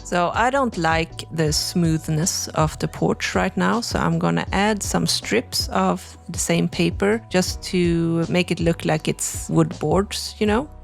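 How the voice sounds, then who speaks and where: A young woman, hosting a crafting channel and narrating a tutorial in a relaxed, chatty way. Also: lowish voice, slow, creaky, few pauses, faint music behind. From Sweden. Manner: relaxed, chatty explaining with frequent "like" and "you know".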